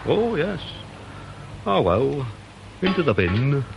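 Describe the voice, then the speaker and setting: A man's voice: medium pitch, sing-song, slow pace, gravelly, exaggerated voice. A man playing a polite, earnest British police sergeant in a radio detective drama, deferential and a little pedantic. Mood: earnest, puzzled. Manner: deferential, precise, mildly pedantic. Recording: faintly noisy old radio drama recording.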